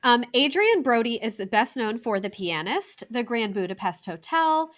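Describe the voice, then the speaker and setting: An American woman in her thirties chats through a film review podcast with a bright, lively voice that leaps up and down in pitch. She talks in a chatty, excitable way, full of quick asides. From United States. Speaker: podcast co-host. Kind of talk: casual film review chat.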